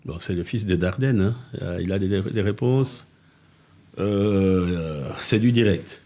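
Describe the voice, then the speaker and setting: An older man from Congo, a mayor telling animated anecdotes in a casual interview, with restarts and asides, recorded on a living-room podcast set. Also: low-pitched, a little slow. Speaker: mayor, political guest.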